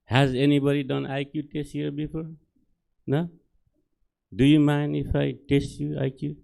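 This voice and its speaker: A man's voice: fairly high pitch, little pitch movement, moderate pace, vocal fry, dark tone. A middle-aged man from Nepal with a Nepali accent, doing a stand-up set on a comedy theater stage in simple, broken English and keeps checking in with the crowd.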